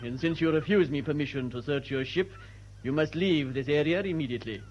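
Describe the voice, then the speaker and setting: An actor playing a formal, unyielding naval officer in a radio drama. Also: male voice, fairly high pitch, a little fast, darkish tone, natural voice. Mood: firm, formal. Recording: dull sound, faint background noise.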